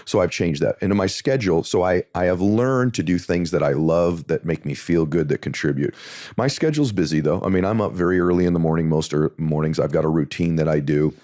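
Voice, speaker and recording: A man with a deep voice, a guest in an interview, telling a personal story earnestly and building to impassioned emphasis. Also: brisk, warm tone, dry recording, clean studio sound.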